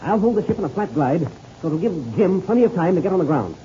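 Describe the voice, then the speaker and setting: An American man playing a calm, authoritative veteran pilot in a 1930s radio serial. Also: sits high. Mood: urgent, commanding. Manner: brisk, authoritative, giving orders. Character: calm, commanding veteran airplane pilot, older comrade. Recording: muffled old radio recording with noise.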